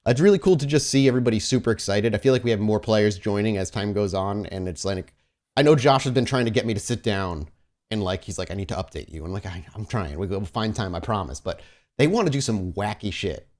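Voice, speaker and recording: A man's voice: fairly low pitch, expressive, quick pace, a little mellow. A man speaking casually as host and game master of a tabletop roleplaying podcast, chatting and setting scenes. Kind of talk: casual chat moving into improvised scene narration.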